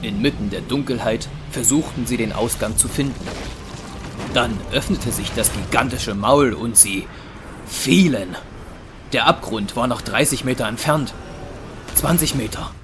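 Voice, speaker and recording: A man's voice: medium pitch, unhurried. Male audio drama narrator over music. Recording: music behind the voice.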